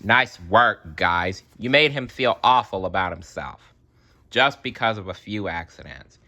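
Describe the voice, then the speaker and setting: Deep, animated storyteller man. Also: a little slow. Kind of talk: expressive children's story read-aloud with wry asides.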